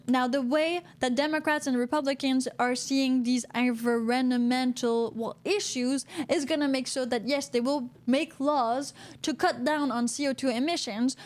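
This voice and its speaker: High-voiced chatty woman. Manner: chatty, laughs often, reads viewer comments aloud. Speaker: podcast co-host. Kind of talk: casual livestream podcast chat. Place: Vancouver, Canada.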